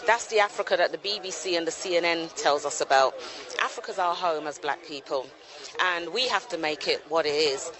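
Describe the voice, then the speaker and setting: Measured UK-born speaker, crowd behind. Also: medium pitch, rough recording. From United Kingdom. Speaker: association representative. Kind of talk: interview answer. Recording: outdoor recording with crowd noise.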